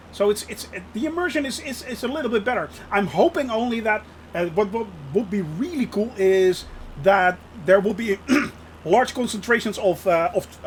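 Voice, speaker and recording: High-voiced Dutch man streaming. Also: a little hiss or hum. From Netherlands. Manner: chatty, laughs often, replies to chat. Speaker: flight sim streamer. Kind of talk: casual live-stream commentary.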